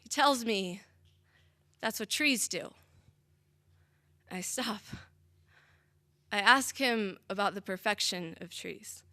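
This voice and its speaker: A young woman performing spoken-word slam poetry with a dramatic, deliberate delivery and measured pauses. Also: mid-range voice, pushed and bright. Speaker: slam poet.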